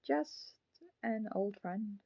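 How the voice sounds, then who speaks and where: A woman voicing the grown-up ghost of a murdered child in a puppet, speaking haltingly and solemnly in an audio drama. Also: mid-range voice, gravelly, darkish tone, full character voice. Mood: hesitant confession.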